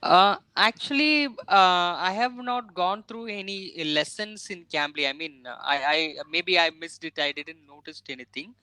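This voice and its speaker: A man's voice: high-pitched, a little slow, hardly any pauses, sharp tone, clear, resonant tone, no room sound. A young South Indian man with an Indian English accent, speaking hesitantly and politely on a video call with his English tutor. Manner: hesitant, many uh fillers, polite. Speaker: software developer, English learner. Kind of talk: online lesson conversation.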